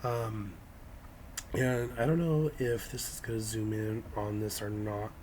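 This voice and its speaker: A hoarse young man with a raspy, scratchy voice, talking through a casual nail-art how-to with lots of ums and restarts. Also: fairly low pitch, fairly even intonation, a little slow. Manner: hesitant, many ums and restarts. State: hoarse from illness.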